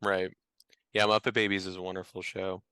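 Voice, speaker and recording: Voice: sits low, level tone, fairly quick, sharp tone, clear, resonant tone, dry recording. An interview co-host asking questions politely and tentatively in a casual podcast style.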